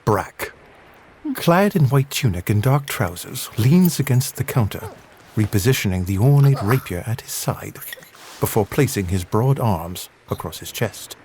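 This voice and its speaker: A man's voice: lowish voice, animated, measured pace, a little mellow, a little hiss or hum. A man delivering scripted third-person narration for an adventure audio drama.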